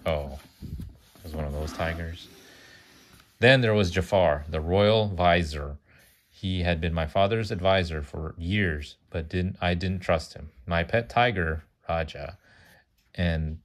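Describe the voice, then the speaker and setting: A man's voice: low voice, takes it slowly. A man reading a children's storybook aloud to a child, stumbling over words now and then, correcting himself and adding chatty asides.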